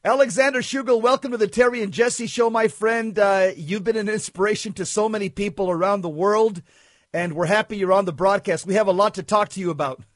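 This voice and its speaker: A male radio co-host with a high voice who speaks in an earnest, preacher-like manner. The sound is dry and close, with no room echo. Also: fairly even intonation, brisk. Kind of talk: radio talk and interview.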